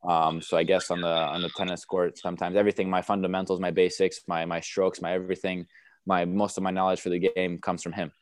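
A young man with a very low, even voice talks through his answers, stopping and restarting often. His tone is smooth and resonant. The sound is dry and close, with little room around it. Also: fast, no echo. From United States. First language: Romanian. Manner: relaxed, many fillers and restarts. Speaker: tennis player guest. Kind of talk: interview answers.

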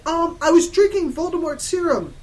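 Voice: sits high, very animated, quick pace, voice pushed up. A person reading aloud as a flustered, melodramatic goth woman professor. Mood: forlorn.

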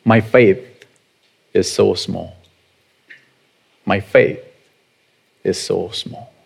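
A man's voice: low-pitched, fairly even intonation, creaky, slightly rough. A man in his thirties with a Korean accent, preaching a sermon in a chatty way and putting questions to the congregation. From South Korea. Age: 30s. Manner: unhurried and conversational, chuckling often, asking the congregation questions. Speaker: pastor.

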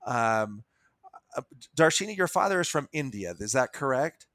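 An American man co-hosting a podcast, introducing and chatting casually in a halting, stop-start way. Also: mid-range voice, big pitch swings, a bit bright, no echo, studio quality. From United States. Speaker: podcast co-host.

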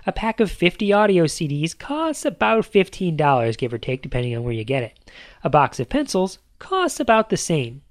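A man with a fairly high voice hosts a podcast, reading a scripted monologue in an easy, conversational way. He leans playfully into his lists of examples. The sound is close and dry, with no room around it. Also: very animated, normal speed, no room sound. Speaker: podcast host.

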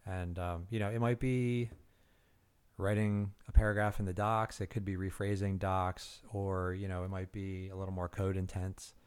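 A man's voice: sits low, little pitch movement, normal speed, clear, resonant tone. A man co-hosting a developer podcast, talking in an easygoing, joking way with lots of fillers. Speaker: podcast co-host. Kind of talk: casual chat.

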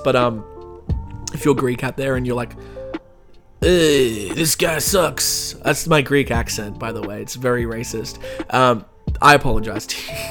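A man hosting his own podcast, reading a history story and then rambling and joking at himself in a casual sign-off. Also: mid-range voice. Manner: self-mocking, rambling, jokey. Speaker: podcast host.

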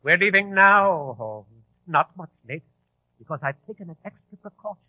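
An actor playing a menacing, taunting leader of a bomb plot, in a theatrical old-time radio style. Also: male voice, sits high, animated, moderate pace, dry recording. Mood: gloating menace. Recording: muffled, tinny 1940s radio recording.

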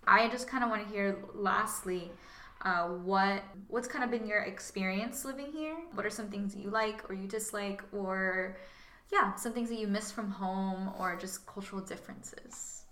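A woman's voice: mid-range voice, normal speed, bright, forceful tone, roomy sound. A woman hosting a podcast interview, chatting casually and affirming her guests with frequent agreement. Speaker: podcast host.

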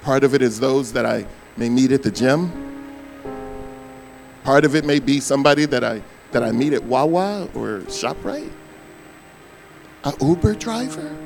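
An older man, a pastor preaching a sermon, his voice hoarse, swinging between loud bursts and soft asides. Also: mid-range voice, animated, a little slow, pauses often, over music.

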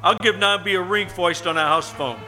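Man acting a high-voiced, brusque building superintendent. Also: fairly quick, over loud music, close to an everyday voice. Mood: sceptical, businesslike. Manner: brusque, colloquial. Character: gruff, sceptical apartment building superintendent. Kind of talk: old-time radio suspense acting. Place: live performance at a winery.

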